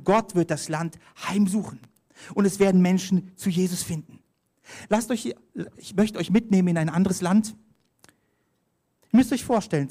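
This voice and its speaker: A man's voice: on the high side, mellow. A man preaching a sermon, telling mission stories, reading scripture aloud and calling for the next slide. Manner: storytelling, reads scripture aloud, directs slides.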